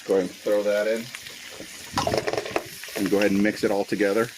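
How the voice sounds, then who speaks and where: Low-voiced man cooking outdoors, noisy. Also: fairly quick. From Philippines. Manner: relaxed and chatty, with many uh fillers and Tagalog phrases mixed in. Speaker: cooking channel host. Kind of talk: casual cooking vlog. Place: outdoor front patio, windy. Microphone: Bluetooth earbuds with a cell phone. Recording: noisy outdoor phone recording with wind and sizzling. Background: sizzling and stirring in a wok.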